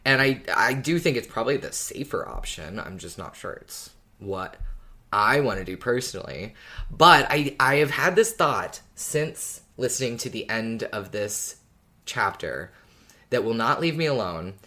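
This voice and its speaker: Thoughtful, hesitant podcast speaker, medium pitch. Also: expressive, unhurried, sharp tone. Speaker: podcast co-host or guest discussing an audio drama. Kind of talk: casual fan discussion.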